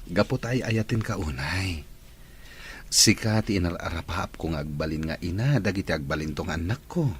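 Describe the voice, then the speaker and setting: A man's voice: sits low, lively intonation, normal speed, breathy edge, exaggerated voice. A man with an Ilocano accent playing a devoted, reassuring man in a family audio drama.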